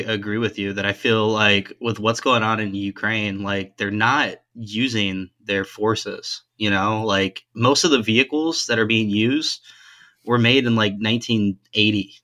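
A man joins a casual podcast chat with a bright, forward tone. He laughs often and leans on "like" as he talks. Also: lowish voice, fairly even intonation, moderate pace. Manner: laughs often, many "like" fillers. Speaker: podcast co-host.

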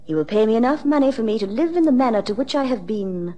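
An actress playing a poised, bitter upper-class wife in a 1960s radio drama, with husky, precise and haughty formal speech. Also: female voice, fairly high pitch, lively intonation, fast, mellow, slight background noise, natural voice. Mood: calculating.

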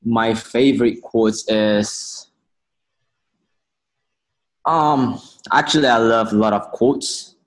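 A man in his twenties from Indonesia, an interview guest speaking earnest, halting English. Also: medium pitch. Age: 20s. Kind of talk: casual interview.

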